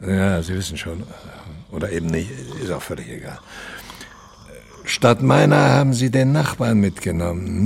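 A man's voice: on the low side, vocal fry, slightly rough, exaggerated voice. An actor playing a pompous former opera star in his eighties, grandiose and bitter, declaiming theatrically in an audio drama. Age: 80s. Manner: theatrical, declamatory, self-aggrandizing. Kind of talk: German audio drama dialogue and monologue.

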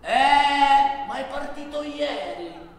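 An actor playing an unhurried, fatalistic African railway clerk on stage, teasing, stretching words and suddenly shouting. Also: male voice, very high-pitched, animated, pitched above the performer's own voice, heavily acted. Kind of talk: theatrical stage monologue. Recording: very echoey hall, far from mic.

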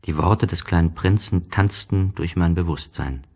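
A man narrating a literary story from a script in a measured, reflective way. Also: sits low, gravelly, a little mellow.

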